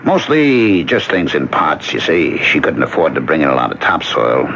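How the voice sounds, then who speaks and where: An actor in an old radio drama playing a gentle, wistful older man with a hesitant, reassuring way of talking. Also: a bass voice, big pitch swings, normal speed, exaggerated voice. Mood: musing, friendly. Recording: muffled, noisy 1970s radio broadcast recording.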